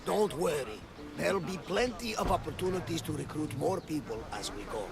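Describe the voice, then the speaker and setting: An actor playing a seasoned, hearty and loyal ship's first mate in a video game. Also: male voice, a little high, lively intonation, fairly quick, vocal fry. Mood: hearty, advising. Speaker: ship's officer. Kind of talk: video game character acting. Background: loud ambient noise.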